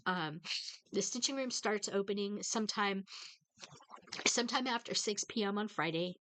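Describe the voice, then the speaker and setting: A woman's voice: medium pitch, fairly bright tone. A woman vlogger chatting casually about stitching, stuffed up from a head cold and a bit tearful, rambling with fillers and restarts. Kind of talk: casual chat to viewers.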